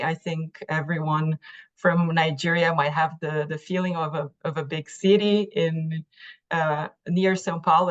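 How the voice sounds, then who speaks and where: A soft-spoken woman from Brazil with a Brazilian Portuguese accent, telling her story as a panelist in halting, careful phrases on a lo-fi online call. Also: low voice. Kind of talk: webinar personal story. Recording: dull, lo-fi online call audio.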